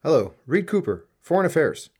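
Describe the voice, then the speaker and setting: A man acting in a radio play as a stern, blunt foreign affairs official with a husky, demanding delivery. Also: fairly high pitch, big pitch swings, a little fast, very noisy. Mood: brisk. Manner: harsh, demanding, interrogating.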